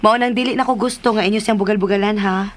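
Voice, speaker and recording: An actress playing a gentle, patient teenage girl and dutiful daughter, reasoning softly in a radio drama. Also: medium pitch, steady tone, fast talker, close to an everyday voice. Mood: firm.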